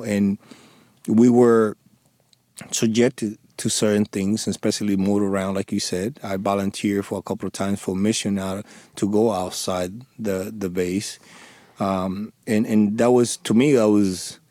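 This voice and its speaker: A man in his forties from the Dominican Republic with a thick Dominican Spanish accent, a native Spanish speaker answering radio interview questions in a halting, earnest way with many restarts. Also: lowish voice, level tone, mellow, no echo. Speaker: interview guest, former police sergeant.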